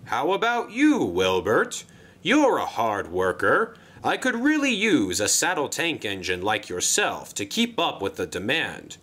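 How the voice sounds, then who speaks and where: A man voicing a pompous, fussy male railway controller who talks in a brisk, jolly and formal way. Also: mid-range voice, wildly swooping pitch, bright, forceful tone, exaggerated voice. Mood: cheerful.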